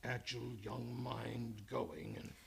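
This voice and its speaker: Actor as husky, gravelly, menacing old doctor. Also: medium pitch, level tone, exaggerated voice. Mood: cold, cornered. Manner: hesitant, deliberate, condescending, with repeated words. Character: menacing, cunning older male psychiatrist, a cornered murderer. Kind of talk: old-time radio drama acting.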